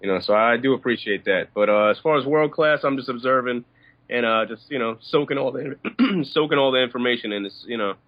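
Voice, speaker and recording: A young man from Iran calls in and talks quickly and loosely, with lots of you-knows. the line is muffled and dry. his voice sits at a middle pitch. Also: no room sound. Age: 20s. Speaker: caller. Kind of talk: casual call-in chat. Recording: muffled, dry phone call.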